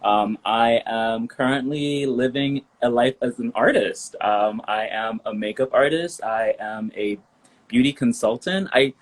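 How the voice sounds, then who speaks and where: A man's voice: medium pitch, unhurried. A man in his thirties from Liberia, a guest chatting in an interview, upbeat and giggly with many ums and likes. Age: 30s. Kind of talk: casual interview chat.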